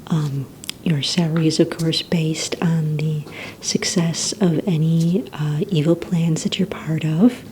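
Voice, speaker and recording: A woman playing a polite, organized receptionist at a supervillain recruitment center in a soft-spoken, breathy ASMR roleplay, with gentle, hesitant, courteous questioning. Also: low voice, fairly even intonation, unhurried, a little hiss or hum. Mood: gentle, businesslike. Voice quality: soft, breathy, near-whisper.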